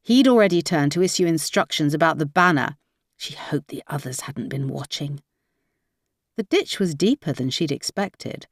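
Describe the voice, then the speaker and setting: A woman's voice: low voice, lively intonation, normal speed, dry recording, studio quality. A woman reading a crime novel aloud as scripted audiobook narration.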